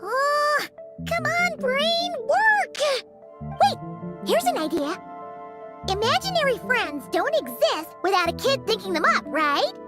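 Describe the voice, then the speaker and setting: Bossy young game girl, very high pitch, lively intonation, self-important, skeptical. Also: slow, music in the background, heavily acted. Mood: frustrated, then eager. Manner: pretend-formal, stumbles over big words. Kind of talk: animated game dialogue.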